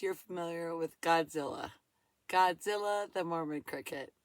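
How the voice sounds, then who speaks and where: A woman in her fifties, hoarse from a cold, chatting casually in a rambling, folksy vlog from her van. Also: fairly low pitch, dry recording. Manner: folksy, rambling, laughs often, says y'all. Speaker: van-dwelling vlogger.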